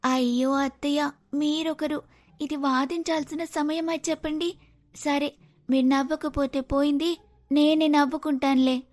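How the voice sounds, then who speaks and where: A woman's voice: sits high, fairly even intonation, a little slow, a little mellow. A woman playing a bold, scheming young daughter-in-law in a cartoon moral story, insistent and dramatic. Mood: exasperated.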